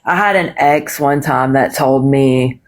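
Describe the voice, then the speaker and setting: A woman with a deep, smooth voice and a country twang, a guest talking candidly and swearing freely in a casual live chat. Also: measured pace. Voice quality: deep voice with bass; smooth, calming. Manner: candid, frequent swearing and laughter.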